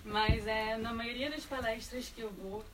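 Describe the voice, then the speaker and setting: A woman's voice: fairly high pitch, fairly even intonation, measured pace. A woman from Brazil with a Brazilian Portuguese accent, asking an informal, conversational question as an audience member at a public talk. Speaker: audience member, master's student. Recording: distant microphone, clear background noise.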